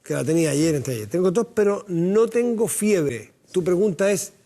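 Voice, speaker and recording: Mellow-voiced TV host. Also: male voice, high-pitched, normal speed. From Chile. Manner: direct, lively questioning. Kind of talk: studio TV interview. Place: TV studio.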